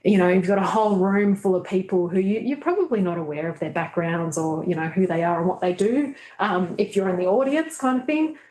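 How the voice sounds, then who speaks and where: A woman's voice: fairly low pitch, expressive, fairly quick, few pauses, a little mellow. Reflective woman in an echoey room. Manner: thoughtful, many fillers and restarts. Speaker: webinar guest presenter. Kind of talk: casual webinar conversation.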